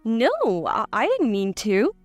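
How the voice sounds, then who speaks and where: A woman's voice: fairly high pitch, sing-song, measured pace, no room sound, faint music behind. A woman voicing a wronged daughter-in-law in her thirties, meek but defiant, in a story drama. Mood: flustered, apologetic.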